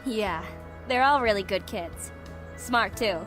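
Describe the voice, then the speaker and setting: A woman playing a prickly but secretly soft-hearted young woman in a visual novel game, with a guarded, defensive manner. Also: medium pitch, slow pace, music in the background, natural voice. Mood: earnest, softened. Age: young adult.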